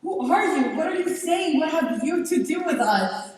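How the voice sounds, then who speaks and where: A woman's voice: high-pitched, expressive, moderate pace. A woman playing a distressed young beauty aged into an old woman, earnest and pleading in a stage play. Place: large indoor theater stage. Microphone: distant camera. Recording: echoey theater stage from far off.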